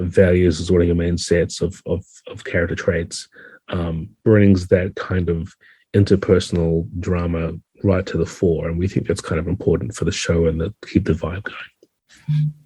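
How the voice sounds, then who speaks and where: A man's voice: sits low, dark tone, breathy edge, dry recording. A man giving a thoughtful, conversational explanation as an interview guest. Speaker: podcast guest, game designer.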